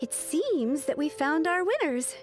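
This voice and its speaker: An actress playing a polite young secretary with a formal, courteous manner. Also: female voice, high-pitched, sing-song, unhurried. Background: game music.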